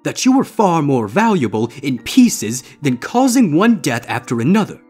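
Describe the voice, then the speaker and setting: A man's voice: a little high, sing-song, moderate pace, a little mellow, husky, soft background music, pitched above the performer's own voice. A man voicing a horrified, exasperated male stage magician who scolds vehemently in a storybook reading. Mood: outraged, desperate.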